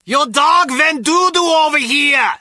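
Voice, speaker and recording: A man's voice: extremely high pitch, a little slow, sharp tone, no room sound, clean studio sound, heavily acted. An actor playing a petty, comical henchman guard who talks colloquially in a video game. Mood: indignant, whiny.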